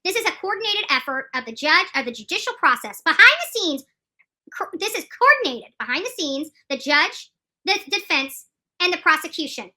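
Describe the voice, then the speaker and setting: A woman giving live, opinionated legal commentary, emphatic and halting, repeating phrases for stress and often restarting her sentences. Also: high voice, sing-song, pushed and bright. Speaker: streamer commenting on court news.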